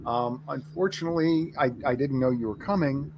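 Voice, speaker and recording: A man's voice: medium pitch, a little fast. A man talking casually as a panelist on a developer chat. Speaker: panelist and conference organizer. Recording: clear background noise.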